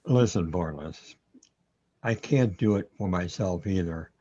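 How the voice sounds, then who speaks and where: Man playing a slow, husky, wry guide. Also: deep voice, dark tone, dull audio. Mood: matter-of-fact. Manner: unhurried, matter-of-fact. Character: calm, wry metaphysical guide in a surreal theater. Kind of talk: radio play acting.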